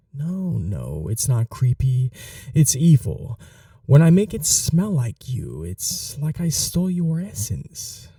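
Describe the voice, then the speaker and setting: A man playing an eager, awkward young supervillain in an ASMR roleplay, rambling to the listener with sheepish boasts and breathy asides. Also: medium pitch, dark tone, no room sound, studio quality, recorded from a distance, full character voice. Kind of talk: ASMR roleplay audio drama, addressing the listener.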